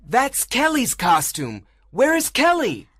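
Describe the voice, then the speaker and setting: A determined, alarmed boy in a storybook drama, acted by a woman. The voice is high and swings up and down, with deliberate, urgent exclamations. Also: wildly swooping pitch, fairly bright tone, husky, dry recording, studio quality, full character voice. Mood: puzzled, uneasy.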